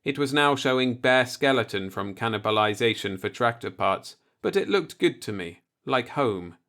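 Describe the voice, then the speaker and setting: A man's voice: mid-range voice, animated, normal speed, pushed and bright. A man reading a short story aloud as a scripted audiobook narration.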